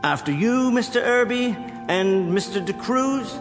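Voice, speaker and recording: An actor playing an erudite, self-assured scientist who leads a gang of thieves in a radio drama, speaking formally and precisely. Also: male voice, high-pitched, expressive, measured pace. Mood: calm, assured. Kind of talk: dramatic radio play acting. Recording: reverberant hall sound, music underneath.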